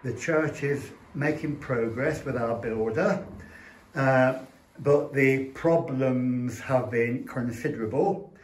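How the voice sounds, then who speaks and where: Slow-spoken older English priest, roomy sound. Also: male voice, mid-range voice, slight background noise. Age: older, around 70s. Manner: halting, measured, earnest. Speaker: Orthodox parish priest. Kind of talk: unscripted fundraising address to camera. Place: small indoor chapel room. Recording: roomy echo from camera mic.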